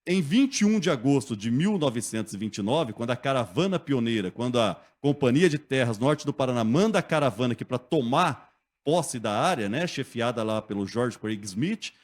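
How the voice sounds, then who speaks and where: A man telling local history as a guest in a radio studio, lively and conversational, often checking in with the listener. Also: medium pitch, normal speed. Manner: lively storyteller, acts out quotes, often asks "né?".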